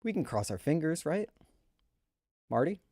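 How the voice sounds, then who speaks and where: A man with a slightly high-set voice hosts a livestreamed awards show. He addresses chat with theatrical, jokey flair and mock exasperation. The sound is close and dry, with little room in it. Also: big pitch swings, measured pace, dark tone, no room sound.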